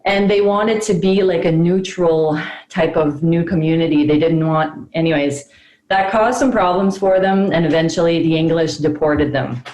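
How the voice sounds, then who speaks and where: Low-voiced woman explaining on a call. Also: moderate pace, a little mellow, roomy sound, rough recording. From Prince Edward Island, Canada. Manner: chatty, explanatory, laughs often. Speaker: band member, interviewee. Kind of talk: casual interview over video call.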